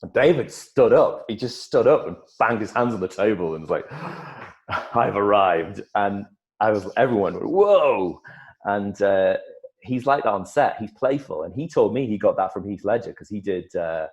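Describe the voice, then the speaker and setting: Low-voiced animated male storyteller. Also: normal speed, highs cut off. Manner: animated storyteller, many restarts and repeated words. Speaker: actor guest. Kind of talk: casual interview chat.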